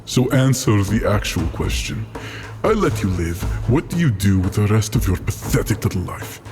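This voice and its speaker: A man's voice: lowish voice, sing-song, moderate pace, over music, voice pushed down. A man voicing a stern, scornful otherworldly being who speaks formally in a tabletop game, with contempt in his manner. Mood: cold scorn.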